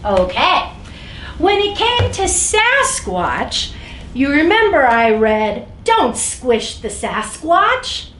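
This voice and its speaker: A woman's voice: high-pitched, big pitch swings, takes it slowly, a bit bright. A woman hosting a children's story time, reading aloud expressively and speaking directly to young listeners. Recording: echoey room, faint background noise.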